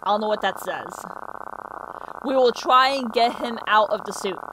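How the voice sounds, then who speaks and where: A man's voice: very high-pitched, moderate pace, noisy background. A young man giving casual reaction commentary and reading captions aloud, with muttered asides, on a close mic in a small room. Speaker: reaction video host.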